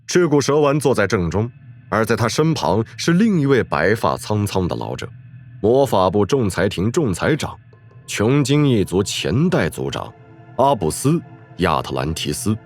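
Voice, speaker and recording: A man's voice: fairly low pitch, very animated, normal speed. A man delivering dramatic scripted narration for a story. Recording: background music under the voice.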